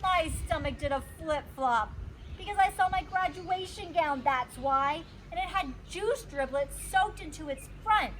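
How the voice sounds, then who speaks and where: A woman reading a children's storybook aloud like a teacher, expressive and emphasizing her words. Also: extremely high pitch, unhurried, slight background noise, rough recording. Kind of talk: animated storybook read-aloud.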